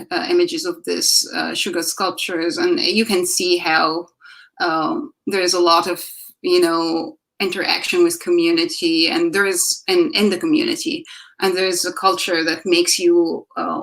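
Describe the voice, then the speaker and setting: A middle-aged woman from Egypt whose first language is Arabic, giving a halting artist talk over slides, full of fillers and restarts. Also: on the low side, vocal fry, a bit bright, poor audio. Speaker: guest artist.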